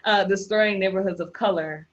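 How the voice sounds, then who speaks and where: A woman with a Haitian accent walks through a housing rights workshop, stressing each point and circling back to it. Her pitch sits mid-range. The video-call audio comes through muffled and dull. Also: moderate pace. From Haitian background. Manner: emphatic, repeats key points. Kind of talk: presenting slides in a workshop.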